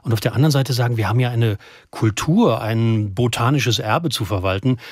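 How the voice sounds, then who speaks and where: A man's voice: mid-range voice, a little fast, husky, no room sound. Articulate male radio host, dry sound. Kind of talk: conversational radio presenting.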